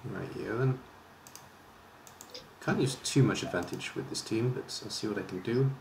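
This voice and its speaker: A man with a faint Welsh accent mutters through his moves in a measured, low-key way, voice sitting deep. The sound is roomy, with a faint hiss under it. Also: vocal fry, darkish tone. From Welsh border region. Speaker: streamer and league organizer. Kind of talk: casual live commentary while playing.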